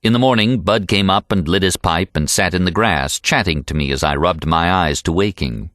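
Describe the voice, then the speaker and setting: A man's voice: low-pitched, moderate pace, fairly bright tone, no echo, studio quality. An American man reading a literary novel aloud as an audiobook narrator.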